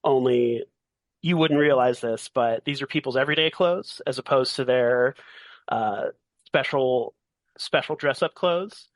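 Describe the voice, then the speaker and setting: A man's voice: mid-range voice, no room sound. Man game master in dry close sound. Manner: halting, rephrases as they go. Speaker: game master and podcast host. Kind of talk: improvised narration of a tabletop role-playing game.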